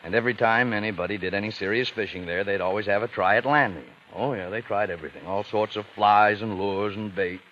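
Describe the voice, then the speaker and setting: An actor playing a sly, folksy investigator in a vintage radio drama, speaking casually and deliberately with knowing insinuation. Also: male voice, on the low side, fairly bright tone, natural voice. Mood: quietly taunting. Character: sly, folksy, patient investigator needling a suspect. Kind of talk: 1940s-50s radio acting. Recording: muffled vintage radio recording, faint noise.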